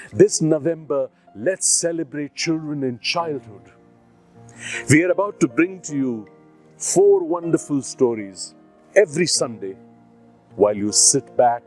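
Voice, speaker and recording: A man with an Indian accent hosting a series for children, introducing it in an encouraging way with deliberate phrasing. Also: mid-range voice, darkish tone. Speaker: series host. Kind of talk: scripted introduction addressed to children. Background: plucked guitar music.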